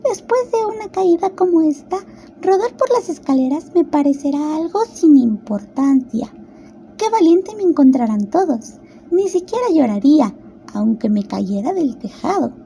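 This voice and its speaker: Woman as a little girl, storybook radio play, high pitch, curious, chatty, self-important, musing aloud, faint background noise. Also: big pitch swings, normal speed, mellow. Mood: boastful wonder.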